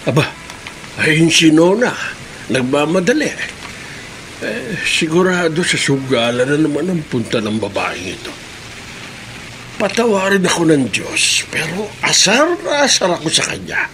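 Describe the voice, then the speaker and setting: A man's voice: on the high side, very animated, a little slow, pauses often, fairly bright tone, a little hiss or hum. A Filipino man playing a folksy, grumbling elderly father who mutters and interjects in a radio drama. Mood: disapproving, grumbling.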